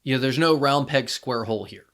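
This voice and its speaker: A man co-hosting a podcast, talking casually as a fan and thinking aloud in a halting way. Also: medium pitch, fairly bright tone. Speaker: podcast co-host.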